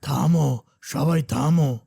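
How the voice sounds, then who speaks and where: A man voicing a gravelly, slow-talking demon villain. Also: a little high, warm tone, no room sound, clean studio sound, exaggerated voice. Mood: threatening. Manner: taunting, domineering. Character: menacing, arrogant giant ghost-demon villain. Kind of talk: cartoon villain acting.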